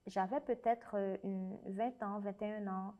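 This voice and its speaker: A woman's voice: mid-range voice, steady tone, measured pace, muffled recording. A young adult woman with a Haitian French accent, a guest speaking earnestly and reflectively in a conversational interview. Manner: earnest, reflective, with frequent restarts. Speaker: guest, writer and special educator.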